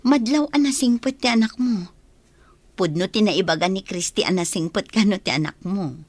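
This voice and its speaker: A woman's voice: mid-range voice, moderate pace, a little mellow, dry recording, close to an everyday voice. A woman playing a supportive cousin and confidante in a radio drama, conversational and reassuring. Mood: pleased, reassuring.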